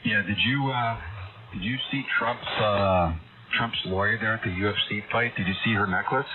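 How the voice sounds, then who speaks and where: A man's voice: fairly low pitch, moderate pace. A man chatting casually and a little hesitantly over a dull, noisy ham radio transmission. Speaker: amateur radio operator.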